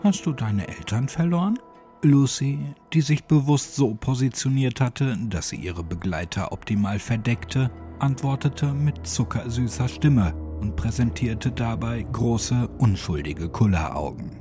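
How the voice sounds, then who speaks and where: Male narrator, mid-pitched, scripted horror audiobook, music in the background. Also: lively intonation, measured pace, hardly any pauses, dark tone.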